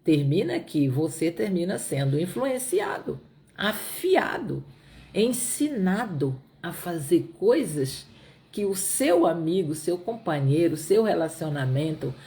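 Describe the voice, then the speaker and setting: A woman's voice: fairly low pitch, very animated, takes it slowly, mellow. A middle-aged woman from Brazil's Northeast with a Pará accent, teaching on a Bible proverb in a conversational way, often asking her listeners "né?". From Brazilian Northeast (Piauí). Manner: conversational, repeats points, asks listeners "né?".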